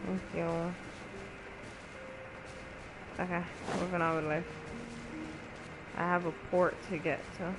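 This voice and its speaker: A gamer comments casually on their own play over clearly audible game music. The voice sits at a medium pitch and moves slowly, in short, scattered remarks spoken half to themselves. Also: level tone, poor audio.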